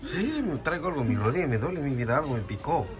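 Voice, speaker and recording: A man's voice: a little high, big pitch swings, fast. A man with a Mexican Spanish accent chatting casually in a restaurant booth, showing food and sending long lists of greetings and blessings. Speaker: vlogger. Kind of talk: casual food vlog chat. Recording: loud music playing behind.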